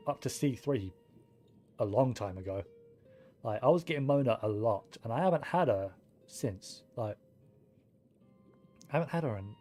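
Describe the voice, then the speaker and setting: Man, game streamer, mellow relaxed tone, casual rambling commentary, medium pitch, faint background music. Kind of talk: casual gameplay commentary, thinking aloud.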